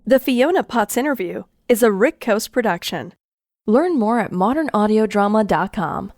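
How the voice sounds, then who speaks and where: A woman's voice: mid-range voice, lively intonation, normal speed, darkish tone, no room sound. A woman reading a scripted promo tag as an announcer.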